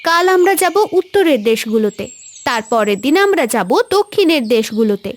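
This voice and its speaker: A woman voicing a caring young fairy friend in a fairy-tale cartoon, earnest and expressive. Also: on the high side, a little fast, dry recording. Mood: brisk, planning.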